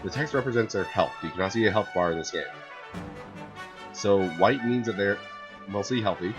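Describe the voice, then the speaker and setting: A man with a hoarse voice from a cold, casually commenting on a video game and rambling with lots of fillers. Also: fairly low pitch, moderate pace. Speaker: gameplay streamer. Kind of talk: casual let's-play commentary. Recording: gameplay with loud game music.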